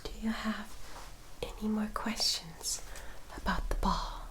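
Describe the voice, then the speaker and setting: Slow whispering vampire sister played by a woman. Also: medium pitch, steady tone, close to an everyday voice, poor audio. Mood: calm, instructive. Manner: slow, soft, formal and soothing, addressing listener directly. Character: gentle, caring elder vampire sister of noble society. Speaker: ASMR roleplay performer. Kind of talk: whispered ASMR roleplay.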